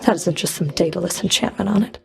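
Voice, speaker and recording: A woman's voice: fairly low pitch, fast, gravelly. A woman playing a stern, scornful underworld fury in a video game, with a cold, sardonic, taunting delivery. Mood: dry, sardonic. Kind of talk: scripted video game character dialogue.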